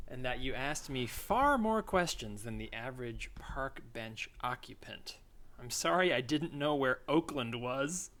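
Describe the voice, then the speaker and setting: A man's voice: mid-range voice, animated, a little slow, a bit bright. A man co-hosting a comedy podcast, chatting casually and reading out ads.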